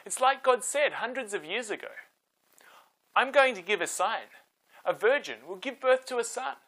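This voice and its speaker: A man's voice: very high voice, lively intonation, moderate pace, sharp tone, voice pushed up, heavily acted, poor audio. A man voicing an angel who proclaims good news emphatically in a children's storybook tale. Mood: reassuring, solemn.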